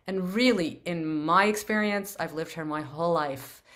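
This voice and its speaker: A woman's voice: on the low side, normal speed, a bit bright. A woman from Canada hosting a community video call, talking in an effusive, earnest way. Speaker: meeting host. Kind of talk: casual group video call.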